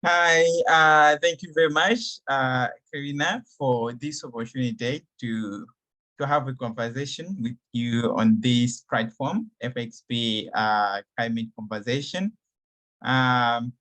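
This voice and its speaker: A young man from Malawi speaks slowly and carefully, stopping often to find his words. His voice is bright and forward, and his answers come in short, hesitant bits. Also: mid-range voice, rough recording. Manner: halting, many fillers and restarts. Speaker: climate activist guest. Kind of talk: online interview answers.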